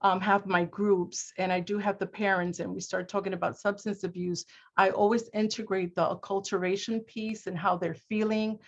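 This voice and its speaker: A woman's voice: mid-range voice, little pitch movement, moderate pace. A woman speaking off the cuff as a community prevention worker on a video call, often repeating words as she hunts for phrasing. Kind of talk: unscripted webinar remarks. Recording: dull lo-fi video-call audio.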